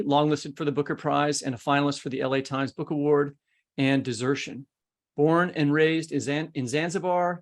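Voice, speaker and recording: A man with a somewhat higher-than-average voice co-hosts a podcast. His delivery is conversational, like casual chat between colleagues. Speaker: podcast host.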